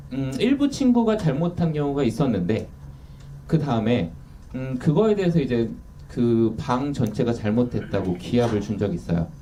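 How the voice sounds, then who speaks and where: Lively, mellow-voiced man speaking from afar. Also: a little high. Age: 30s. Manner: candid, self-deprecating, lively. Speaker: author guest. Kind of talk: casual interview talk. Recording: distant mic with faint noise.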